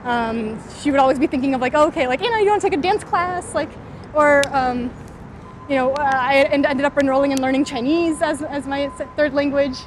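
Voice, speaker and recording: A young woman from California answers interview questions while walking outdoors. Her voice sits high, and her speech is loose and relaxed, full of "like" and "um." Faint city noise hums under her. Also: fairly quick. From California, USA. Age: 20s. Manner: frequent "like" and "um" fillers, thoughtful. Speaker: startup founder interviewee.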